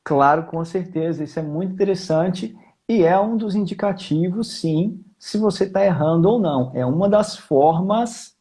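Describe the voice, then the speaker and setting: Male Brazilian vocal teacher, high-pitched voice, informal, emphatic, conversational technical explanations. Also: moderate pace, few pauses, warm tone. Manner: informal, emphatic, repeats key points. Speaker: singing teacher, guest.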